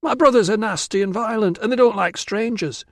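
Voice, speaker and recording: A man voicing a silly, excitable teenage girl in an audiobook, gushing, whiny and insistent. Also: high-pitched, brisk, no echo, pitched above the performer's own voice. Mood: sulky, insistent. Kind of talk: audiobook character voice.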